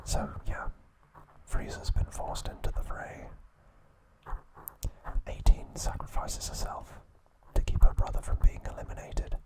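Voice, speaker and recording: A man hosting an ASMR video, recapping a story in a soft unvoiced whisper with small slips and self-corrections. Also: unhurried, low-quality recording. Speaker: ASMR host.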